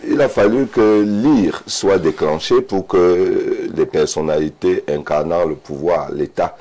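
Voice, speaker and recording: A man's voice: deep voice, unhurried, warm tone. A man, a guest speaking emphatically in a studio debate, often repeating words as he starts. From Cameroon. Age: 50s. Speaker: panel guest.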